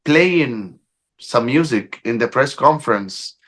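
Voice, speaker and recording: A man with an Egyptian accent interviewing a musician, enthusiastic and halting in his English. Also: mid-range voice, expressive, a bit bright. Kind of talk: casual interview.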